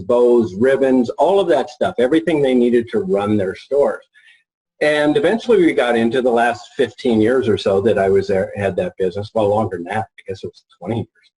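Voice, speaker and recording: A middle-aged man informally presenting as a marketing expert, folksy and halting as he thinks aloud. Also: on the low side, darkish tone, rough recording. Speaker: marketing expert and author presenting his book.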